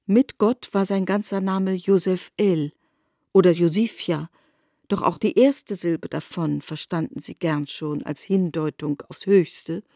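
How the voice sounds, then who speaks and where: A woman narrating a literary radio drama as a knowing storyteller, in a measured, articulate manner. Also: lowish voice, warm tone, dry recording, studio quality. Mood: explanatory.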